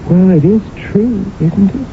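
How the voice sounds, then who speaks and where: An actress playing an anguished, defensive, jealous wife in a radio mystery drama, speaking in a theatrical, halting way. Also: female voice, mid-range voice, natural voice. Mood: wounded, defensive. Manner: theatrical, halting, emotionally intense. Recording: noisy, narrow-band old radio recording.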